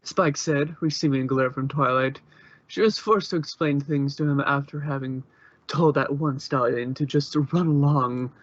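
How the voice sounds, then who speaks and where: A man's voice: mid-range voice, steady tone, normal speed, no echo, muffled recording. A man narrating fan fiction in an informal amateur style, occasionally stumbling over words.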